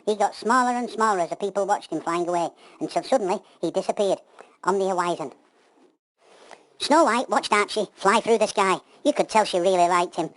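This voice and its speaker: A woman's voice: medium pitch, brisk, no echo, poor audio. A woman telling a homely children's pantomime story.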